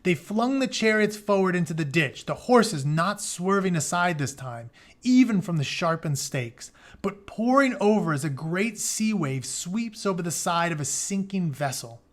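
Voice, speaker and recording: Higher-pitched man reading aloud as a teacher. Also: measured pace. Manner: animated storyteller, colloquial asides. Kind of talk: dramatic read-aloud with casual explanatory asides.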